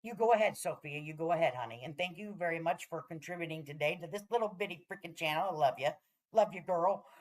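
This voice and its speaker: A woman's voice: lowish voice, fast. A woman hosting a casual livestream, chatting to viewers in a brash, profane way. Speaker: livestream host.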